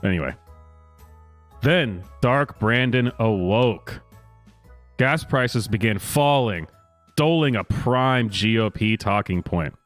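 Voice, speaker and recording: A man reading a news story aloud as a commentary host, mock-dramatic, with sarcastic asides and theatrical pauses. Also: on the low side, animated. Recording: music playing behind the voice. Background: music.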